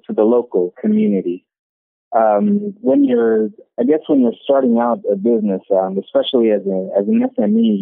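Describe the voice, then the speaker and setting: Gravelly man on a tinny phone line. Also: high-pitched, no echo. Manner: hesitant, many restarts and fillers. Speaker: business owner being interviewed. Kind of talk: casual interview answers.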